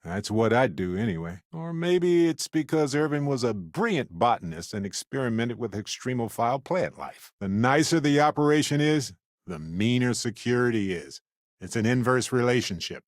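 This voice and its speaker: Witty space explorer, hugely expressive. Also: male voice, mid-range voice, dry recording, studio quality. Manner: wry, talkative, theatrical, expressive. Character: witty, genial male space explorer and scientist, adult. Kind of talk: video game character voice lines.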